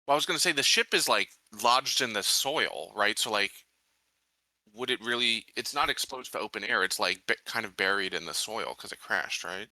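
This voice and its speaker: Very bright, forceful-voiced man. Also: fairly low pitch, expressive, normal speed, no room sound, low-quality recording. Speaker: player in a tabletop roleplaying game. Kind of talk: casual game-table talk.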